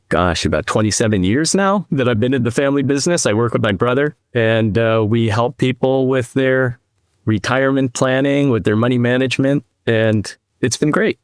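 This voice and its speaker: Measured middle-aged man, dry close sound. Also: mid-range voice, clear, resonant tone, dry recording. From New Jersey, US East Coast. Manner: measured, reflective, speaks in long explanatory runs. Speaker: podcast guest, financial advisor. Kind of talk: conversational interview storytelling.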